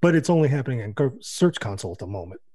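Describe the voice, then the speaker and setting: An American man co-hosting a radio show on a boom mic, explaining things quickly and restarting often. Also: big pitch swings, warm tone, no echo, studio quality. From United States. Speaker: podcast co-host. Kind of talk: casual radio show chat.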